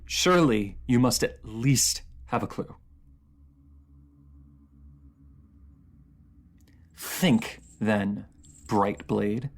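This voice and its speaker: A man's voice: mid-range voice, husky. A man playing an anguished, proud enemy ruler in a fantasy audio roleplay, speaking in formal, theatrical phrases that halt with emotion. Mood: bitter, challenging. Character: captive enemy ruler, anguished, proud, lovesick, under truth potion.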